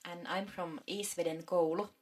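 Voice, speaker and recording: A woman's voice: on the low side, steady tone, normal speed, darkish tone. A woman from Finland with a Finnish accent, a class teacher answering pupils' questions into a handheld mic in a classroom. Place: school classroom, indoors.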